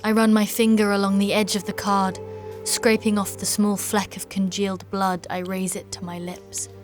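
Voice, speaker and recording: A woman's voice: medium pitch, level tone, natural voice. Stern devout knight lady played by an actress, formal and grave, fantasy audio drama, bit of music under it. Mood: grave, determined. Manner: formal, archaic, measured. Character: battle-weary female knight, stern, devout, formal, solemn narrator of her quest. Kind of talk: dark fantasy audio drama acting and narration.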